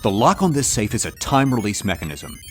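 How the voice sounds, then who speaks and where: A man's voice: fairly low pitch, sing-song, fast, fairly bright tone, faint music behind. Flustered, suave secret-agent man, wildly swooping intonation. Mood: businesslike. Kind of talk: comedic audio drama acting.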